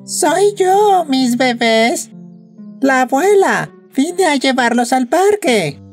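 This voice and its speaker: A woman voicing a sly wolf posing as a sweet old grandmother in a children's cartoon, coaxing with drawn-out words. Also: sits high, very animated, soft background music, heavily acted. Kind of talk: children's animated fairy tale acting.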